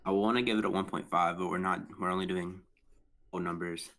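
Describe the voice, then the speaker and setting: A man on the panel quietly giving his score in a soft, unsure way. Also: low voice, fairly even intonation, fast, vocal fry. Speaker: panel judge.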